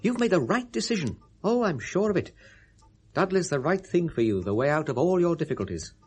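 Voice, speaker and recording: An actor playing a reassuring, businesslike British mentor in a 1970s radio drama, with a husky voice. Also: male voice, on the high side, big pitch swings, brisk, a little mellow.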